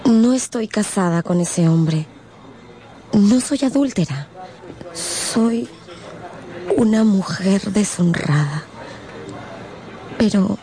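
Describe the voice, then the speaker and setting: A woman's voice: mid-range voice, warm tone. An actress playing a troubled, proud young woman in love in a radio drama, speaking earnestly. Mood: solemn confession. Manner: deliberate, earnest. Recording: faint music behind the voice.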